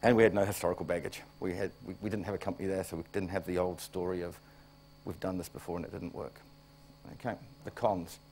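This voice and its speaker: A man's voice: sits low, steady tone, quick pace, a little mellow, rough recording. A middle-aged man with a New Zealand accent, a plant director giving a conference talk, plain-spoken and wry, coming down with a cold. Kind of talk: conference presentation.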